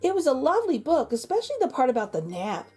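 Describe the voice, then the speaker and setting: Storybook mom, done by a woman narrator: very high, earnest, exclaiming, animated pitch. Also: fast talker, breathy edge, faint music behind, heavily acted. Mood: apologetic. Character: apologetic, excitable young mother with a baby.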